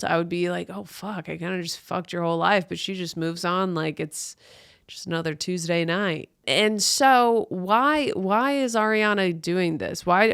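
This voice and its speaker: A woman comedian with a smooth, clear voice delivers irreverent commentary as a podcast host. She is blunt and exaggerated, takes her time over each line, and sounds close and dry. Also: medium pitch, fairly bright tone, no echo. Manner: blunt, sweary, exaggerated, breaking into mock voices.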